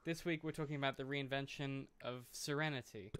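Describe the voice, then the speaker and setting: A man's voice: medium pitch, fairly even intonation, a little fast, fairly bright tone, smooth, no echo. A man co-hosting a film podcast, talking casually in a dry, rambling way. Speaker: podcast co-host. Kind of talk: casual film discussion, sometimes reading a plot summary.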